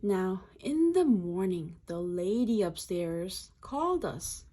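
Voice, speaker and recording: A woman's voice: mid-range voice, very animated, takes it slowly, mellow. A woman reading a children's picture book aloud in short, simple phrases, adding explanatory asides.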